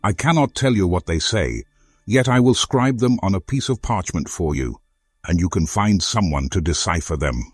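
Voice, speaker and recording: A man playing a courteous, earnest former foe in a fantasy game, speaking formally and deliberately as he offers help. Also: low voice, animated, husky, no room sound, soft background music. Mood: intrigued, helpful. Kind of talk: video game character dialogue.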